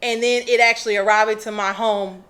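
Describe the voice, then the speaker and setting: A woman from the United States chatting in a group, measured, with small "uh" hesitations. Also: mid-range voice, steady tone, fairly bright tone. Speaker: panelist. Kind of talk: casual group chat.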